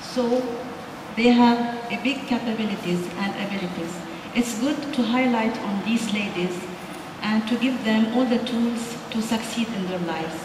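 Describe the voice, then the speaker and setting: A woman's voice: fairly high pitch, measured pace. A woman judge making an earnest, advocating comment. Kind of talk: spontaneous comment. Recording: echoey hall with noise.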